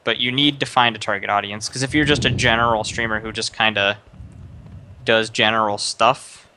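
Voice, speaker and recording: A man's voice: fairly low pitch, steady tone, moderate pace, bright, forceful tone, a little hiss or hum. A young man streaming live, casually giving another streamer rambling advice with lots of fillers. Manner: rambling, many fillers and restarts, advising.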